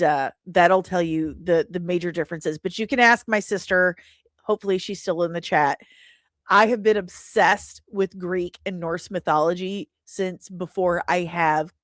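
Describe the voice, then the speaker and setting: A woman hosting a casual livestream, talking in an animated, rambling and self-deprecating way. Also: lowish voice, dry recording, clean studio sound. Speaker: livestream host.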